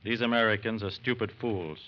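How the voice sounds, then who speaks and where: A man acting a sober federal agent, low-voiced and clipped, with methodical authority. It is old-time radio drama, muffled with a faint layer of noise. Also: steady tone, fairly quick, close to an everyday voice. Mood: grave. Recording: muffled, slightly noisy old radio recording.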